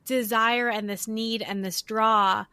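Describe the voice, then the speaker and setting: A woman's voice: a little high, steady tone, sharp tone, clear, resonant tone, no room sound. Chatty woman with dry close sound. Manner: quick, chatty, thoughtful. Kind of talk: casual conversation. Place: living abroad, at home.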